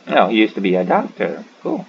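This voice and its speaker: A man's voice: on the low side, sing-song, a little fast, vocal fry, lo-fi sound, a little hiss or hum. A man giving casual, muttered playthrough commentary as he hosts a gaming video.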